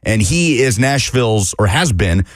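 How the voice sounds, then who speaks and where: A man breaking down sports on a studio radio show, brisk and detailed, rattling off records and numbers. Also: lowish voice, expressive, no echo. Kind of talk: sports radio talk, analysis. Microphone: studio microphone.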